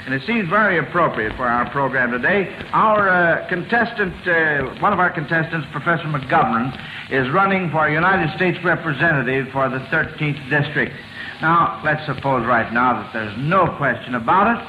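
High-voiced old-time radio quizmaster, man. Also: animated, moderate pace. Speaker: quiz show host. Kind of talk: unscripted quiz show hosting, old-time radio. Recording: dull, noisy, roomy old radio recording.

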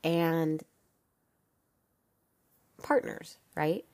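A young woman with a stuffy, congested voice talks through a solo podcast episode. Her low voice is recorded close and dry, with no room sound. She keeps a frank, casual manner and swears freely. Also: expressive, very slow, darkish tone, dry recording. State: congested from a cold. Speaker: podcast host.

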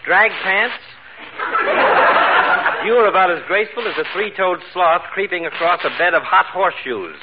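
A man's voice: high-pitched, animated, moderate pace. A man playing an urbane, wordy doctor given to dry, florid insults in a 1940s radio comedy. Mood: sardonic. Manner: wordy, sardonic. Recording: muffled old radio recording, loud noise.

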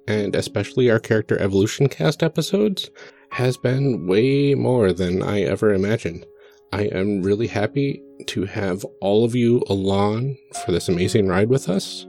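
A man's voice: mid-range voice, darkish tone. A man co-hosting a podcast, making casual announcements and banter in a friendly, earnest way. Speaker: podcast co-host.

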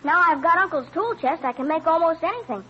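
A woman's voice: very high voice, lively intonation, fast talker. A woman playing an eager, polite farm boy in an old-time radio drama. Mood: eager, earnest. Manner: earnest, polite. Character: eager, bright farm boy who loves figuring. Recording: dull vintage radio recording.

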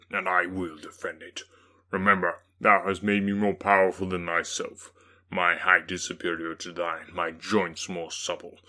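A bitter, menacing creature from a gothic storybook speaks slowly and low, his deep voice sinking into hushed, whispery stretches as a man puts him on. There is a hard, bright edge to his words, half pleading and half threatening. He sounds heavy and full of anguish. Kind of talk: dramatic audiobook reading.

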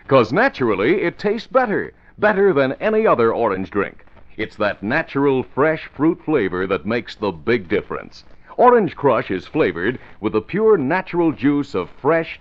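A man with an American accent doing scripted vintage radio announcing. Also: mid-range voice. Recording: dull vintage radio recording.

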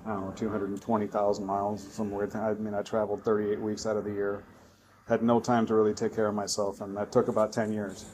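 A man's voice: fairly low pitch, level tone, quick pace, a little mellow, slight background noise, low-quality recording. A man who grew up in Zambia, Tanzania and Norway, a podcast guest telling his story in a chatty way. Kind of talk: casual interview talk.

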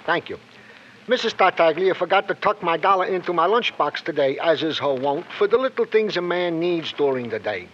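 High-pitched, pompous comic police sergeant. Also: male voice, expressive, a little fast, a bit bright. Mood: earnest, aggrieved. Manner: pompously formal, insistent. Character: comic, wordy, eager male police sergeant, henpecked husband. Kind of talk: 1950s radio drama comic acting. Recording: dull, noisy old radio recording.

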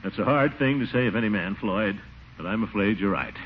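An actor playing a sly, persuasive small-town commissioner in an old radio comedy, coaxing and grandiloquent. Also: male voice, lowish voice, brisk, slight background noise, natural voice. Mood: coaxing, wistful. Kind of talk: 1940s radio sitcom acting. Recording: muffled old radio recording.

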